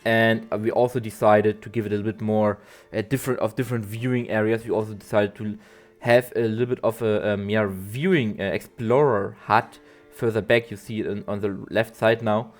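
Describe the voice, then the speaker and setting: A man, a bit nasal from allergies, doing a halting voiceover for a game build video with lots of ums and restarts. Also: lowish voice, fairly even intonation. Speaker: zoo-building game creator. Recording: soft guitar music behind.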